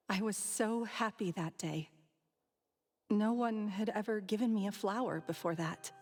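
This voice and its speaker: A woman playing a gentle, sentimental woman who reminisces tenderly in a video game. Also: medium pitch, measured pace, pauses often. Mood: fond, nostalgic. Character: gentle, wistful, sentimental woman recalling her old love. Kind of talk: video game cutscene dialogue. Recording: faint music behind.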